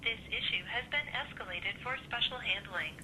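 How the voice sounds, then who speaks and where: A woman's voice: moderate pace, sharp tone, a little hiss or hum, poor audio. A synthetic woman's voice reading formal scripted announcements for an automated phone support system. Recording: phone system played into a rumbling room.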